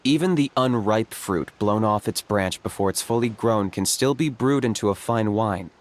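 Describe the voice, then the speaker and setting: Man playing low-voiced, calm, aloof young warrior. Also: slight background noise, lightly acted. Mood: philosophical. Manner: measured, unruffled, laconic. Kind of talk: video game voice acting.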